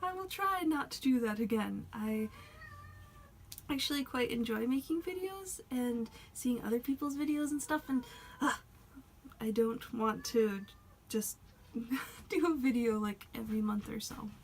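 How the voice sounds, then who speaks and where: A woman's voice: fairly high pitch, unhurried, slight background noise. A woman chatting casually in a vlog, rambling and self-conscious, her voice a bit worn as she is losing it. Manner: rambling, self-conscious, soft-spoken with laughs. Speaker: doll hobby vlogger.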